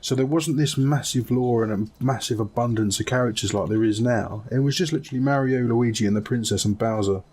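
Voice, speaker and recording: A man's voice: mid-range voice, brisk, hardly any pauses, darkish tone, slightly rough. A man, talking casually as a panelist on a podcast. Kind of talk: casual panel chat about films.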